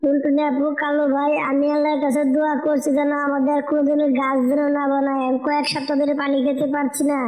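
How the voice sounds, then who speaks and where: Woman voicing a high, plaintive thirsty mango tree. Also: monotone, fast, hardly any pauses, darkish tone, lightly acted. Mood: plaintive, suffering. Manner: plaintive, lamenting.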